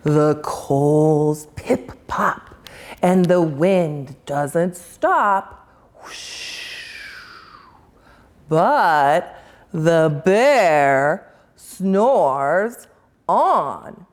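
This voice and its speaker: A woman reading a rhyming children's picture book aloud in a sing-song, drawn-out way. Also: low-pitched, animated. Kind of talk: expressive read-aloud storytelling.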